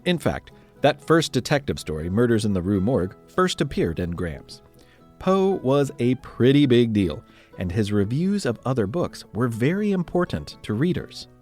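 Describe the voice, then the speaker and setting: A man's voice: mid-range voice, very animated, normal speed, darkish tone, soft background music. A man narrating scripted history as a podcast host, in a playful, conversational storytelling way with dramatic emphasis.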